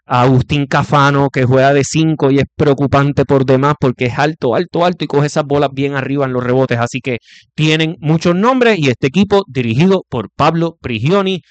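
A man's voice: mid-range voice, no room sound. A man hosting a basketball talk show, listing rival players and breaking down how they play. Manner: breaks down opposing players, lists names with pauses. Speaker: sports show host.

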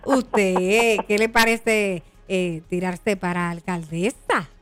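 A woman's voice: mid-range voice, steady tone, slow pace, a bit bright, no echo. A woman with a Puerto Rican accent, co-hosting and asking questions in a live radio interview. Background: music bed.

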